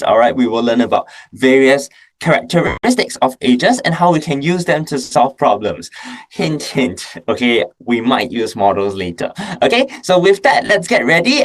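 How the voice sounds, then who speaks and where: A young man with a Singaporean accent teaching a math class online, upbeat and full of questions. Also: fairly high pitch. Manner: upbeat, asks questions and checks in with okay.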